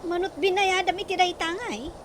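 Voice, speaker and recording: A woman's voice: very high voice, steady tone, a little fast. A woman in an Ilocano radio comedy drama, teasing and gossipy. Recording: noisy background hiss.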